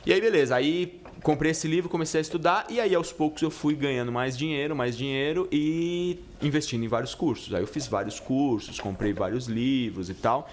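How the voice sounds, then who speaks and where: A middle-aged man born in Italy, a guest pizza chef in a casual podcast chat, telling relaxed stories with slang and many restarts. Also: on the high side, brisk, hardly any pauses.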